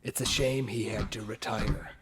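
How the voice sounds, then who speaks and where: A man's voice: on the low side, steady tone, moderate pace, slight background noise, close to an everyday voice. A man playing an earnest, skeptical ninja in a fan-made anime audio drama, formal and questioning. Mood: reserved, thoughtful. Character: earnest, skeptical male ninja, respectful subordinate.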